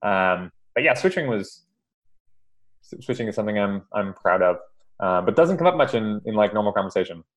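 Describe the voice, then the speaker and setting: A man's voice: lowish voice, animated, brisk. A man from Hawaii, a podcast guest chatting casually and hesitantly, with lots of ums and repeated words. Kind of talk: casual interview chat.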